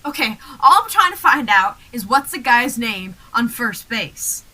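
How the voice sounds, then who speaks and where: A woman's voice: on the high side, big pitch swings, measured pace, pushed and bright, heavily acted, rough recording. A young woman in a student radio play, playing a confused, exasperated man in a comedy double act with insistent questions. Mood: increasingly frustrated. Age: young adult. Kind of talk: comic double-act stage patter.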